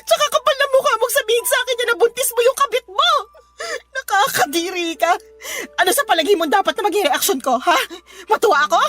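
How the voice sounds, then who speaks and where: An actress playing an overworked young mother in a radio drama, sharp, sarcastic and emotional with her cheating partner. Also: very high-pitched, quick pace, faint music behind. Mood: furious, shocked. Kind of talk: Filipino radio drama acting.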